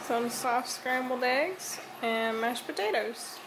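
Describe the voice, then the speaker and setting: A woman's voice: a little high, lively intonation, slow, background noise. A woman chatting casually from behind the camera in a home vlog. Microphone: behind the handheld camera. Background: music.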